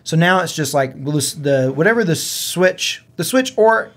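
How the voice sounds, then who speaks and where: Man, slightly high voice, earnest, conversational, casual podcast co-host. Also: lively intonation, normal speed, a bit bright. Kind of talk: casual gaming podcast chat.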